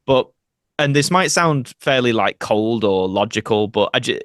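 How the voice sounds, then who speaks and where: A man's voice: medium pitch, animated, normal speed, fairly bright tone, no echo, clean studio sound. A man speaking as a podcast guest in casual interview conversation, earnest and reasoned.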